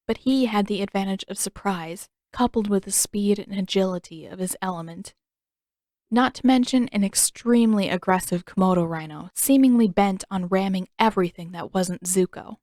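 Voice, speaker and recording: A woman's voice: mid-range voice, a little mellow, no room sound. Woman narrating fiction, dry close sound. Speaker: audiobook narrator.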